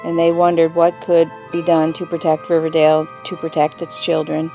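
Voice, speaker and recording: A woman playing a grave mother who tells an old family story in a measured, wistful way in an audio drama. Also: low-pitched, monotone, warm tone, soft background music. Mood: solemn.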